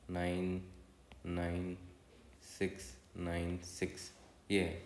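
A man with an Indian accent explaining as a coding tutor in a casual, conversational way, often adding little check-in tags. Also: low voice. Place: indoor room, voice-over for screen recording. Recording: roomy, echoey voice recording.

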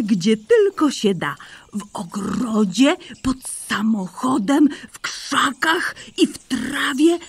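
A woman voicing a gentle, loving mother rabbit in a children's audio drama, speaking tenderly and calling her son sweet names. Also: on the high side, full character voice. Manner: tender and soothing, calls her son endearments.

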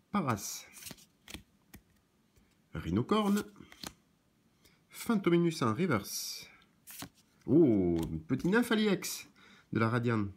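A slightly hoarse man, off camera, rattling off card names while opening trading card packs, with chatty asides to viewers. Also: mid-range voice, darkish tone. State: slightly hoarse, clearing throat. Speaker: card shop owner and YouTuber. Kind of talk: casual card-opening vlog. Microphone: camera framing hands and cards, speaker unseen.

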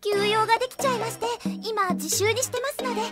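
Very high-voiced polite anime teacher. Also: female voice, fast. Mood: polite, mild. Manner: very polite, mild, oblivious. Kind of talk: anime comedy audio drama acting. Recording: loud music behind the voice.

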